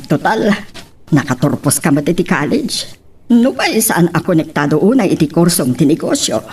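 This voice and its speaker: A woman's voice: lowish voice, very animated, normal speed, darkish tone, slightly rough, recorded from a distance, exaggerated voice. An actress playing a firm, middle-aged businesswoman mother in an Ilocano drama. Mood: businesslike. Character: mature businesswoman mother, firm, planning for her daughter. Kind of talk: Ilocano radio-style drama acting.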